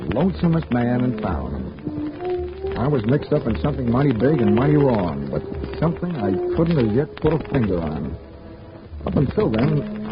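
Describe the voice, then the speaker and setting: A hard-boiled insurance investigator narrating his case in an old radio show, his voice dry and world-weary. He wisecracks at a middle pitch, with music rising up behind him. The sound is muffled, like an old broadcast. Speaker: narrator and lead. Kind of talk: 1940s radio acting.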